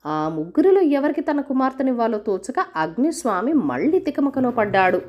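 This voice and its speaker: A woman's voice: on the high side, sing-song, moderate pace, lo-fi sound. A woman reading a scripted folk tale as a storyteller for children.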